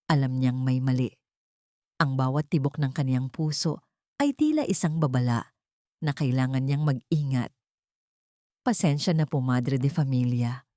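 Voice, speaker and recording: A woman tells a dramatic story with theatrical suspense. Her voice sits slightly low but swings widely in pitch, and the recording is dry and close. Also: darkish tone, no echo. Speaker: storyteller, narrator. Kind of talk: dramatic scripted audio story narration.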